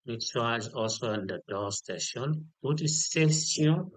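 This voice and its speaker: Male tutorial instructor, unscripted and slow, repeats key points, very dry close sound. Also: medium pitch, expressive, no room sound. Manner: instructional, repeats key details and checks with "okay". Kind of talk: step-by-step software tutorial, unscripted.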